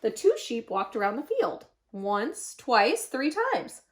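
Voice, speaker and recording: A woman reads a children's story aloud. Her voice is high and swings widely in pitch, and she leans on words with dramatic pauses. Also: big pitch swings, slight background noise. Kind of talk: expressive children's picture-book read-aloud.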